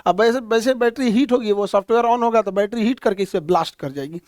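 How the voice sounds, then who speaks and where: A man's voice: high-pitched, quick pace, a little mellow, dry recording, studio quality. A man teaching a current-affairs lesson in a plain explaining manner, pointing things out on a map and using many fillers. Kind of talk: explainer lecture.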